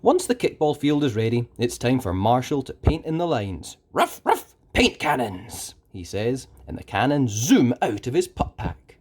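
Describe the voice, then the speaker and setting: A storyteller reading a children's book aloud in an expressive, dramatic way with deliberate emphasis. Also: mid-range voice. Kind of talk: animated scripted children's story narration.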